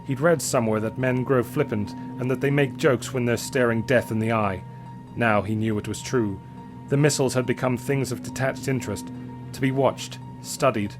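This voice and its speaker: A man narrates an adventure story in a mid-range voice. His delivery is dramatic, deliberate and full of suspense. Music plays clearly behind the reading. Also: mid-range voice.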